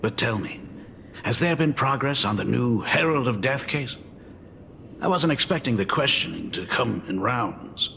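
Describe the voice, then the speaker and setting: A man voicing a doting, fretful middle-aged father and academy dean in a video game, with expressive, theatrical delivery. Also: mid-range voice, normal speed, vocal fry, long reverb tail, slight background noise. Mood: composed.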